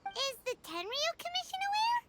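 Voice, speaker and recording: A woman voicing a small, cheerful, childlike fairy companion with a squeaky voice in an anime game. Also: wildly swooping pitch, moderate pace, very noisy, heavily acted. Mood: curious, surprised. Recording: game music behind.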